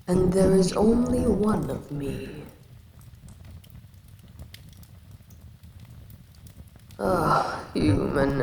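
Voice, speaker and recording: A woman's voice: fairly low pitch, heavily acted. A woman voicing an ancient giant female kaiju queen who speaks down to a tiny human she calls a pest. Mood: haughty. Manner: condescending, calls the listener pest. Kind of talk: audio roleplay acting. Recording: echoey reverb with ambient noise.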